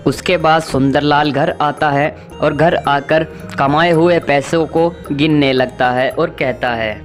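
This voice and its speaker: The man who tells the tale between characters' lines in an online kids' animated story, light music beneath. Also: on the high side, unhurried. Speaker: narrator. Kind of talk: scripted children's story narration.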